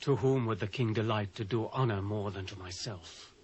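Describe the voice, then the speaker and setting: A man's voice: fairly low pitch, moderate pace, slight background noise, natural voice. A British man playing a vain, ambitious courtier in a dramatised scripture reading, in a grand declamatory manner. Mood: self-important. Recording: dull lo-fi cassette audio.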